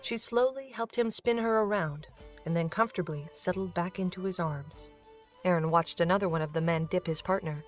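A woman's voice: lowish voice, moderate pace, faint music behind. A woman giving scripted third-person narration of a story as the narrator.

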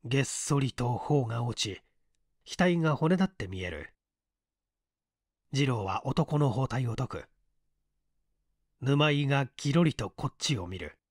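A man reading a literary story as dramatic narration in a measured, deliberate way. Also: mid-range voice, expressive, no room sound, clean studio sound. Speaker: story reader.

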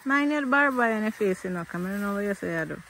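A woman's voice: medium pitch, lively intonation, fast talker, a bit bright, a little hiss or hum, poor audio. A young woman, chatting casually outdoors as she vlogs around her farm. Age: young adult. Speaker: vlogger showing her farm. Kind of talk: casual outdoor vlog chat. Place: outdoors in a grassy goat pasture. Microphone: handheld phone camera.

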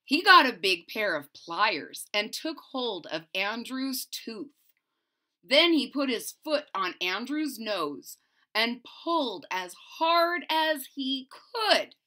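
A woman reads a picture book to children in an expressive, dramatic way. Her bright voice is high and swoops widely, and she stops for chatty asides. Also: slow pace. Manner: expressive, dramatic, addressing young listeners. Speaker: storybook reader. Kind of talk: animated read-aloud for children with asides. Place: small furnished room at home, indoors.

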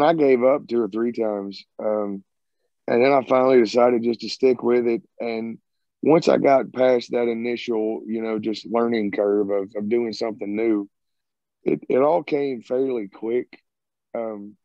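A man's voice: medium pitch, steady tone, normal speed, no echo. A man in his thirties with a Southern American accent, a rock singer casually answering interview questions with a rambling, laid-back manner over a video call. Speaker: rock band singer and guitarist. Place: home, by a sided wall. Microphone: video call, earbuds. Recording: muffled dry video call audio.

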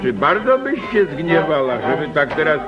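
An actor playing a blunt, jovial, teasing old uncle in a theatrical radio play, full of folksy grumbling. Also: male voice, on the high side, very animated, normal speed. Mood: teasing, amused. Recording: muffled radio play, loud music behind.

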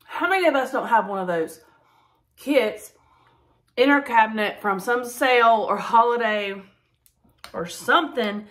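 A woman's voice: on the high side, animated, unhurried, pauses often, fairly bright tone. A woman, chatting casually as she cooks in her home kitchen. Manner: chatty, enthusiastic, says y'all often. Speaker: cooking vlogger. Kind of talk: casual cook-along chat.

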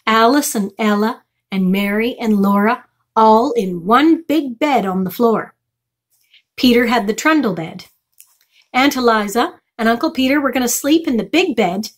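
A woman's voice: on the high side, lively intonation, moderate pace. A middle-aged woman reading a children's chapter book aloud as a storytime reader. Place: small furnished room indoors.

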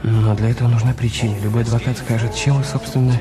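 Actor playing a bitter, resentful husband. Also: male voice, mid-range voice, level tone, quick pace, music in the background, close to an everyday voice. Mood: bitter brooding. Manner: defensive, sardonic, brooding inner monologues. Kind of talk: radio drama acting.

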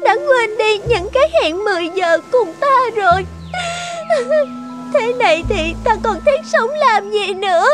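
A lovesick young maiden from a cartoon fairy tale. Her voice is extremely high and tender, full of tearful lament, with music playing clearly behind it. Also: expressive, a little slow. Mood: anguished, betrayed.

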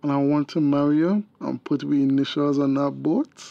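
An actor with a Tobagonian accent playing a pleading, sweet-talking crooked young boyfriend in a radio drama. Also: male voice, a little high, fairly even intonation, normal speed, creaky, no echo, muffled recording.

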